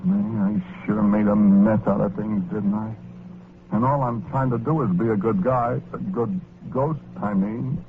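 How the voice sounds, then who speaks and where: A man's voice: on the low side, lively intonation, measured pace, creaky. An actor with an American accent playing a well-meaning, exasperated working-class ghost who tells his story in slangy 1940s radio drama style. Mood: rueful. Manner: colloquial, folksy slangy storytelling. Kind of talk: 1940s radio horror-comedy acting, first-person narration. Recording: scratchy, noisy, narrow-band old radio recording.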